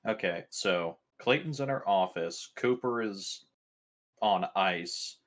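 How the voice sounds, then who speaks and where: A man's voice: deep voice, lively intonation, slow pace, fairly bright tone. A man casually asking questions as a player in a tabletop roleplaying game.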